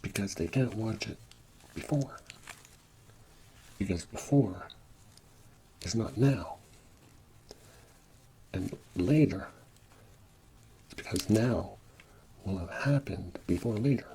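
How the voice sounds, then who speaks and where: A man playing an absurd, scatterbrained space-villain overlord in an ASMR parody roleplay, murmuring and whispering in a soft, halting, mock-pompous ramble. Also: fairly low pitch, lively intonation, a little hiss or hum, full character voice. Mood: rambling, puzzled. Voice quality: soft, creaky voice dropping into whispers.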